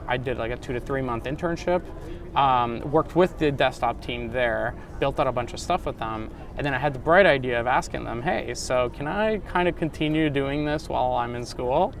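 A man's voice: mid-range voice, gravelly. A man in his thirties who grew up in Canada tells his life story in a loose, chatty way. He fills his sentences with "like" and "um". Faint traffic hums in the background. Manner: casual, many fillers and likes. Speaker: founder and CEO guest. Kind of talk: casual storytelling interview. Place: conference venue.

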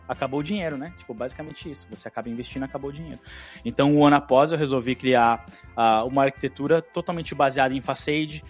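A Brazilian man in his thirties, a guest in an interview, telling his story in a relaxed way with slang and fillers. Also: mid-range voice. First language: Portuguese. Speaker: podcast guest, engineering executive. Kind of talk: casual interview chat.

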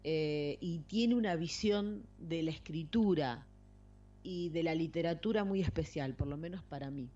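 A woman's voice: sits low, unhurried, dark tone. A woman with an Argentine accent chatting casually about books as a podcast co-host. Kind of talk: casual book chat. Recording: slightly muffled lo-fi audio.

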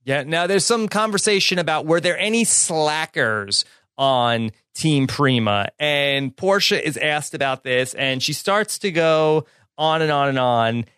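A man's voice: a little high, lively intonation, measured pace, pushed and bright, smooth, no room sound, studio quality. A man hosting a casual recap podcast, chatting and passing questions to his co-hosts by name. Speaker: podcast host.

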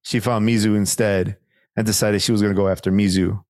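A man's voice: on the low side, flat delivery, a little fast, a little mellow, dry recording, clean studio sound. A Mexican-man, co-hosting a casual show review, rambling and laughing often. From Mexican-American, United States. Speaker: podcast co-host.

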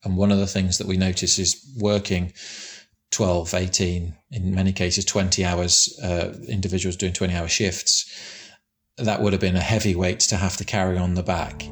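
A man's voice: deep voice, level tone, normal speed. A man who manages a construction site, talking casually and plainly in a big voice, often restarting and repeating words. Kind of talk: casual interview answers.

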